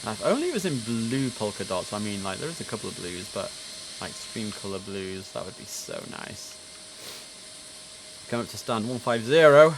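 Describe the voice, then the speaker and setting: A man with a fairly low voice gives casual live commentary as a game streamer. He talks in a chatty way, thinking out loud as he goes. Some hissy noise sits around his voice. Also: very animated, normal speed. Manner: chatty, musing aloud. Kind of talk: casual live gameplay commentary on an air traffic control simulator.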